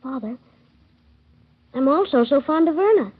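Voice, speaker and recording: A woman's voice: high voice, lively intonation, unhurried. An earnest little boy on old radio. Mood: pleading. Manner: eager, plain-spoken child questions. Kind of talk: 1930s radio drama acting. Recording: dull 1930s radio broadcast.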